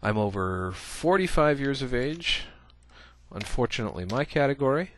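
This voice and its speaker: A man's voice: medium pitch, sing-song, normal speed, fairly bright tone, slight background noise. A man giving a casual screencast coding tutorial, thinking aloud as he types, with many "uh" fillers. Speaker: programming instructor.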